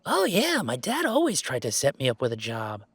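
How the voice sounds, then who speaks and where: A man playing a laid-back, self-deprecating young gamer in a roleplay audio drama, chatting in a halting, playfully exasperated way. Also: mid-range voice, wildly swooping pitch, a bit bright, breathy edge, no echo, exaggerated voice. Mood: animated. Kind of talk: roleplay audio drama, casual one-sided conversation.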